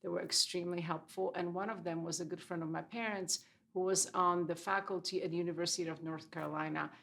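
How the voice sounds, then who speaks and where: A woman's voice: on the low side, steady tone, fast, vocal fry, fairly bright tone. A woman in her sixties from Poland, Polish her first language, a guest in an interview telling her story reflectively with many fillers. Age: 60s. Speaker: guest dermatologist. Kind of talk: casual interview chat.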